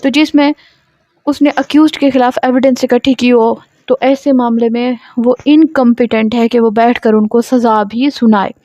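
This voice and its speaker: A woman's voice: on the high side, fairly even intonation, normal speed, mellow. A woman with a Pakistani accent lecturing on law, reading out legal text and explaining it didactically, repeating and rephrasing points. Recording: dull lo-fi sound, roomy echo.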